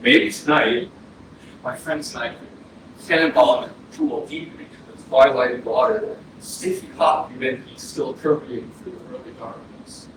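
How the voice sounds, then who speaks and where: A man playing the wistful ghost of a fourteen-year-old boy in a staged reading, halting and poetic with pauses. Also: mid-range voice, close to an everyday voice. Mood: dreamy, foreboding. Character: dead fourteen-year-old boy's ghost, dreamy, wistful would-be filmmaker. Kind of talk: staged reading of a play, monologue. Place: indoor arts center stage, recorded from afar. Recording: very echoey, distant hall, clear noise, processed.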